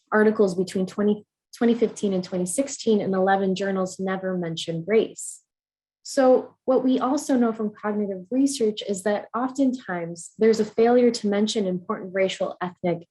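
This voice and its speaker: A woman from the United States giving an academic conference talk, measured and earnest, with frequent ums and uhs. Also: medium pitch, a little mellow, low-quality recording. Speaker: conference speaker.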